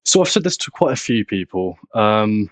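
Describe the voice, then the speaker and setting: A nineteen-year-old man with a British accent, speaking casually and haltingly as an interview guest. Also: lowish voice, big pitch swings, no room sound. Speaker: podcast guest, content creator.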